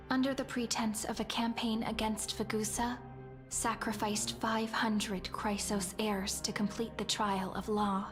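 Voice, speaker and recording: A woman voicing a gentle young storyteller and guide in a video game, with soft, lyrical, reassuring phrasing. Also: fairly high pitch, close to an everyday voice. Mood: solemn. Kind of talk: scripted game story narration. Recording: game music under the voice.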